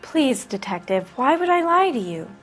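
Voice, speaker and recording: High-voiced, frightened teenage runaway girl. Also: big pitch swings, normal speed, faint music behind. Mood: defensive, hurt. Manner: hesitant, plaintive, evasive. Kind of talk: video game acting.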